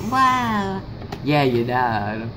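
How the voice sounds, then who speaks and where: Young man hosting over clear background noise. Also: mid-range voice, big pitch swings, extremely slow. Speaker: video host. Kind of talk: casual gameplay commentary. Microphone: handheld phone or camera. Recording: noisy handheld amateur recording, constant hum.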